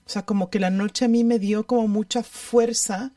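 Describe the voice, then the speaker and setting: A woman speaking reflectively in conversation, thoughtful and halting, repeating words for emphasis. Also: medium pitch, fairly even intonation, warm tone, no echo, clean studio sound, far from the mic. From Ecuador. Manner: halting, thoughtful, emphatic repetition, question tags.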